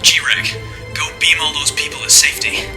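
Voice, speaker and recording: Actor playing male battle leader, resolute dad, mild-mouthed, radio play, mid pitch, blaring music. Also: level tone, normal speed, close to an everyday voice. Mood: urgent, resolute. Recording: voice under loud music.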